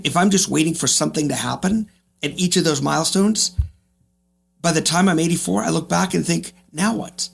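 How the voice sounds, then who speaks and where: A man's voice: a little high, vocal fry, a little hiss or hum. A man in his fifties speaking as an interview guest, reflective and emphatic, repeating phrases for effect. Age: 50s. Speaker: podcast guest, real estate agent.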